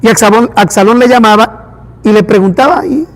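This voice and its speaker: High-voiced man preaching in a reverberant hall. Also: fairly quick. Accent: Venezuelan. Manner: animated preaching with acted-out dialogue. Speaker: pastor. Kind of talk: sermon.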